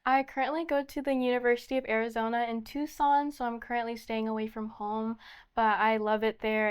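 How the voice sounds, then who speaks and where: A woman's voice: on the high side, clean tone. A young woman from the United States answering interview questions earnestly, often repeating "definitely" and "just". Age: young adult. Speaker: pageant candidate, interviewee.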